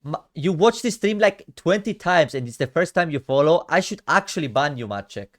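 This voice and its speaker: A man's voice: a little high, animated, brisk. A young man from Cyprus with a Greek Cypriot accent, casually commenting on his own card game matches and chatting with viewers on a stream. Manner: chatty, slangy, talks to chat, often drops to a mutter. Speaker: streamer reviewing card games.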